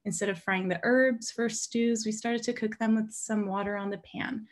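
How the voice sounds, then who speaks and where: A woman's voice: on the high side, steady tone, moderate pace. Young woman student on a zoom call, reading her talk, sincere and heartfelt. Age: young adult. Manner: earnest, heartfelt, carefully read delivery. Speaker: public health graduate student presenter. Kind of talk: prepared personal presentation. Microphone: video call.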